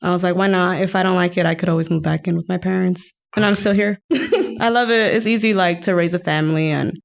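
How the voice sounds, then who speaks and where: Laid-back lower-voiced woman in her thirties. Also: steady tone, brisk, gravelly. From New Jersey. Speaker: baker, guest. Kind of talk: casual interview chat.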